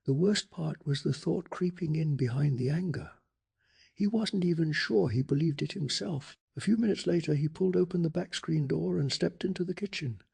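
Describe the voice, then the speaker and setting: A man softly narrating a bedtime story in ASMR style, hushed and calming, with a breathy, gravelly voice. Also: fairly high pitch, normal speed.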